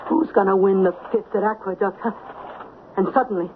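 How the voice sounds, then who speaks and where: A woman's voice: mid-range voice, a little fast, lightly acted. Lady acting as a bossy slangy american dame in a radio play, punchy delivery, tinny old broadcast w/ some hiss. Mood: ominous. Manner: emphatic, slangy, punchy commands. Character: smart, blunt, bossy streetwise woman, hustler's confidante.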